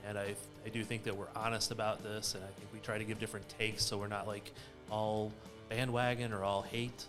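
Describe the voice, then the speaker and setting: Man, low voice, casual podcast talk, explanatory, emphatic delivery. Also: flat delivery, fairly quick, music in the background. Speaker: sports podcast co-host. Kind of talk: casual post-match soccer discussion. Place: recorded in person in a shared room.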